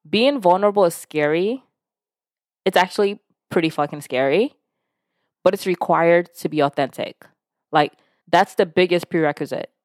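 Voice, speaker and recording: A woman's voice: lowish voice, no echo, clean studio sound. A woman from Nigeria whose first language is Yoruba, hosting a podcast and talking frankly and casually, with the odd curse, about self-growth. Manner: frank and conversational, with casual swearing; lively when retelling stories, voicing others. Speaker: podcast host. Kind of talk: candid self-help monologue.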